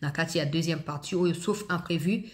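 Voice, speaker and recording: A woman's voice: deep voice, moderate pace. Emphatic Congolese woman giving political commentary. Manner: emphatic, exhorting, repeats phrases for stress; switches between two languages mid-sentence. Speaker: host of an online political news commentary show. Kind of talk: opinionated political commentary, speaking directly to viewers.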